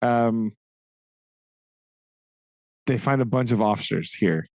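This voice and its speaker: A man's voice: fairly low pitch, slow pace, a little mellow, no room sound. A man co-hosting a podcast, casually retelling a novel's events with frequent "right?" checks and occasional laughs. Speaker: podcast co-host. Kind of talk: casual book discussion.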